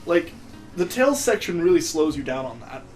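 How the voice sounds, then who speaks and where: A man's voice: fairly high pitch, big pitch swings, normal speed. A man chatting casually and sarcastically as he plays and comments on a video game. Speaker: gaming video co-host playing the game. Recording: game music behind the voice. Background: video game music.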